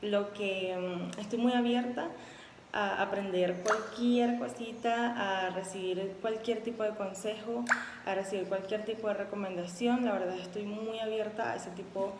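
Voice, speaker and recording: Young Venezuelan woman in her twenties, chatty and upbeat, mid-pitched voice, roomy echo with a faint hiss. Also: fairly even intonation, a little slow. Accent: Venezuelan Spanish. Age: 20s. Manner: chatty and upbeat, with many fillers. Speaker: contest applicant. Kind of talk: casual self-introduction video. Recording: echoey room with faint background noise.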